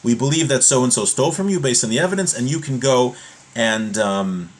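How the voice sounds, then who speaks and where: A man giving an informal religious lesson, explaining step by step in a halting way and rephrasing his points as he goes. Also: mid-range voice, animated. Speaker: religious teacher giving a class.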